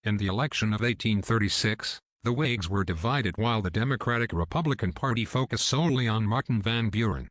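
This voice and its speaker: A man's voice: fairly low pitch, a little fast, few pauses, a bit bright, no echo. A man reading scripted educational history narration as the narrator.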